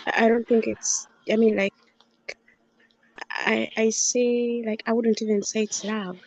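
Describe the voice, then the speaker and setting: A woman's voice: on the high side, level tone, a little slow, no echo, rough recording. A woman giving her opinion in a casual discussion, tentative and searching for words. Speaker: book club participant.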